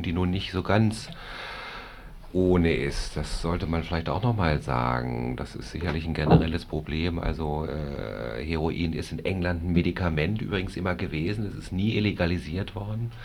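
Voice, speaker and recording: A man speaking as a physician on a discussion panel, hesitant and measured as he makes his argument. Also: deep voice. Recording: faint background noise.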